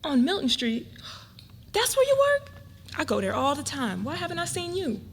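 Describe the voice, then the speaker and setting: A woman's voice: high voice, wildly swooping pitch, moderate pace, full character voice. A woman playing a wary, curious, outspoken young college student in a dramatic reading of a novel. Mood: questioning. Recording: noisy live event recording.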